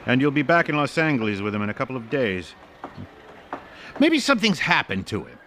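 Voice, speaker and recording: A man playing an eager, inquisitive gentleman in a detective radio drama, earnest and full of questions. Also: mid-range voice, very animated, fairly quick, slight background noise. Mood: curious. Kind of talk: old-time detective radio drama acting.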